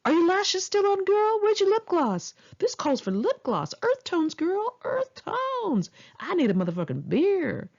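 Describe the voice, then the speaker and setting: A woman's voice: very high voice, huge pitch swings, a little slow, hardly any pauses, a little mellow, lo-fi sound, pitched above the performer's own voice, heavily acted. An American woman voicing a flamboyant, brash, drunk young Mexican man in a campy, exaggerated way for an audiobook memoir. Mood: sassy teasing.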